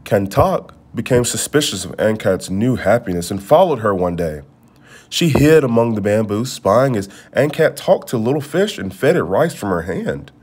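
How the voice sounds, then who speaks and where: A man reading a children's storybook aloud, with measured, expressive phrasing. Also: on the low side, breathy edge. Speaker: storybook reader. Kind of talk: read-aloud storybook narration.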